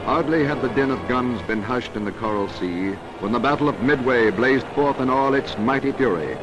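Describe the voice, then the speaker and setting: American guy doing old 40s war newsreel narration, big dramatic delivery, mid pitch, music behind him. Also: normal speed, low-quality recording. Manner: dramatic, declamatory, patriotic. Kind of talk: 1940s wartime newsreel narration, scripted. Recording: vintage 1940s newsreel soundtrack.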